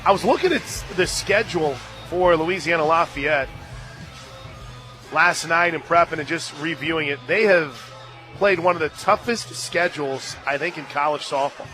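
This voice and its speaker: An American man working as a radio play-by-play announcer, mixing animated game calls with casual conversational banter. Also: moderate pace, soft background music. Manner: animated calls that swell to shouts on big plays, conversational between pitches. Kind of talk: live sports commentary with casual banter. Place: radio broadcast booth at a ballpark.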